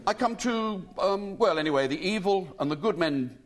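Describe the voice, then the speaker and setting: A man's voice: sits high, animated, normal speed, pitched above the performer's own voice. Man doing a cheeky schoolboy. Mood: muttering. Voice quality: raised boyish voice. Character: cheeky scruffy schoolboy, bold and stubborn.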